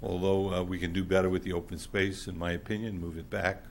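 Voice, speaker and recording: A man with a loud, booming voice giving a blunt, plainspoken public comment at a council meeting. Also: flat delivery, fast. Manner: blunt, plainspoken, with many fillers. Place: council chamber.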